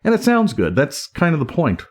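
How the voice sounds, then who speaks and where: A man's voice: a little high, huge pitch swings, moderate pace. A man giving a casual, informal hands-on product review, talking off the cuff. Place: indoors at home. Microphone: camera or recorder microphone.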